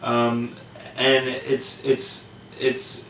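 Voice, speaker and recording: A man's voice: medium pitch, monotone, extremely slow, fairly bright tone, slight background noise. A man who stutters through repeated words, chatting casually. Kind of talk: casual chat. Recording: echoey room, distant mic.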